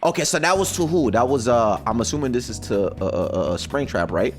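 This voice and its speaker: A man giving casual, slangy reaction commentary as a streamer, conversational and quick to exclaim. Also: medium pitch, big pitch swings, soft background music. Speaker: streamer reacting to game voice lines.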